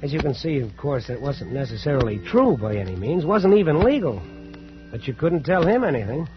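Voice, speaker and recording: Fed-up ship's mate in an old radio play, sarcastic, slightly high voice, music faintly behind. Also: male voice, sing-song, brisk, dull audio. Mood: wry storytelling. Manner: sardonic, incredulous. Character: blunt, exasperated ship's first mate, a storyteller sailor. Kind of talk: 1940s radio acting, first-person narration.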